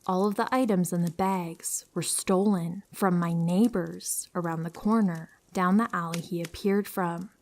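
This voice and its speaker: A woman calmly reading true horror stories aloud as a narrator, in an even storytelling manner. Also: fairly low pitch, a little slow, hardly any pauses.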